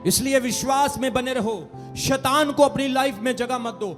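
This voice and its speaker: A man preaching a sermon as pastor, with a fervent, repetitive cadence, calling on the congregation to clap and shout. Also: very high-pitched, moderate pace, big-room sound, faint music behind.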